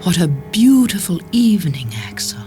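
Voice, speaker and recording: A serene, well-bred lady of a literary tale acted by a man. The voice sits far higher than the reader's own, gracious and full of feeling, with music behind it. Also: sing-song, normal speed, warm tone. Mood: warm appreciation. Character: Axel's serene, fond, well-bred wife. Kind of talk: dramatised short story reading.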